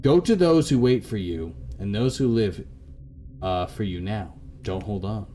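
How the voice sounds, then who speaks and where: A man voicing a gentle late husband heard in memory in a visual novel, soft, tender and soothing. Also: lowish voice, animated, measured pace, soft background music.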